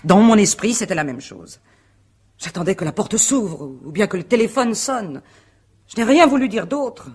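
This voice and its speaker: A woman playing a defensive, guarded wife under questioning in a French radio mystery play, speaking precisely and formally in a husky voice. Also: mid-range voice, lively intonation, normal speed, a little mellow. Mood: uneasy, defensive. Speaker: actor.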